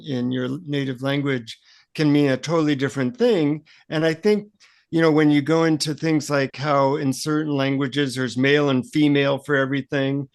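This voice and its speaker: Higher-voiced male co-host with creaky edge. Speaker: podcast co-host. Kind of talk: casual conversational hosting.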